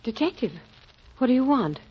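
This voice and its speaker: Haughty bride-to-be, muffled vintage radio. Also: female voice, sits high, very animated, moderate pace, slightly rough, exaggerated voice. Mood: indignant. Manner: clipped, haughty. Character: cold, indignant wealthy bride-to-be, haughty. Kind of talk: old-time radio acting. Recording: muffled vintage radio recording, faint noise.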